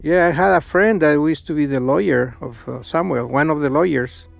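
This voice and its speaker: A man answering interview questions in a halting, casual way, with many pauses and restarts. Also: fairly high pitch, vocal fry, no room sound. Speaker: interviewee, former church member.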